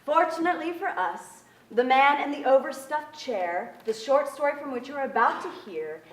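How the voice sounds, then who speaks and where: A woman's voice: high-pitched, fairly bright tone. A woman in her thirties giving a formal scripted narration from a stage. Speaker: presenter reading at a staged reading. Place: on a theater stage, indoors. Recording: echoey theater, distant pickup.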